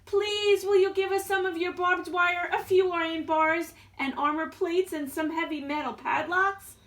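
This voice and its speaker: A woman's voice: extremely high pitch, fairly even intonation, a little slow, fairly bright tone, voice pushed up. A woman voicing small, frightened but defiant little wolves in a storybook, with emphatic, chanting delivery. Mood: polite pleading.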